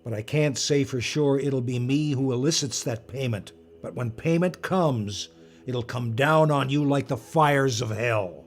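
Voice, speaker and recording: A man acting in an audio drama as an old magician and collector, formal, smug and lecturing, with a measured delivery. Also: mid-range voice, lightly acted. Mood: stern, ominous. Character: old male magician and artifact collector, commanding, smugly moralizing.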